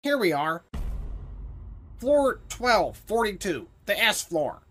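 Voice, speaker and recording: A meek extraterrestrial hustler acted by a woman in a sci-fi comedy radio play. The voice sits at a middle pitch and moves around a lot, polite, apologetic and salesy. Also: big pitch swings, slow, slightly rough, full character voice. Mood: friendly, salesy.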